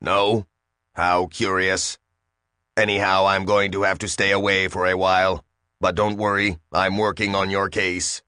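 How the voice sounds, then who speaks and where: A man reading the lines of a sly, confiding young woman in a calm, matter-of-fact way. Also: sits low, unhurried, smooth, dry recording, clean studio sound.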